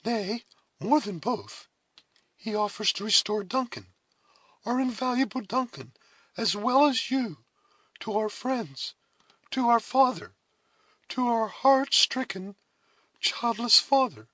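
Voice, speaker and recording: A man voicing a noble young woman, a solemn elder sister in a storybook, speaking with deliberate, heavy emotion. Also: animated, dry recording, full character voice. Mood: tender anguish. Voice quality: high, forceful.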